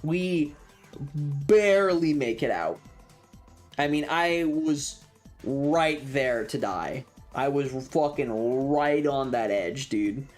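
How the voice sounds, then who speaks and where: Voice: medium pitch, lively intonation, takes it slowly, a little mellow, faint music behind. A streamer telling a story casually and emphatically to viewers, with frequent swearing.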